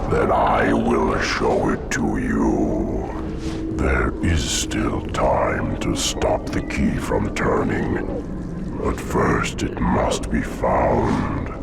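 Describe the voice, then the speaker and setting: Vast, abyss-deep gravelly hive-mind monster. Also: male voice, fairly even intonation, very slow, over loud music, exaggerated voice. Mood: ominous. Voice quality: deep guttural booming growl. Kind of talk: slow theatrical oratory in a game cutscene.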